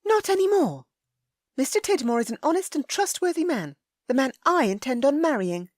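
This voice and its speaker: A woman's voice: high-pitched, expressive, moderate pace, dry recording, clean studio sound, pitched above the performer's own voice. Woman voicing a proud, indignant young lady. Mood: angry. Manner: sharp, huffy retorts.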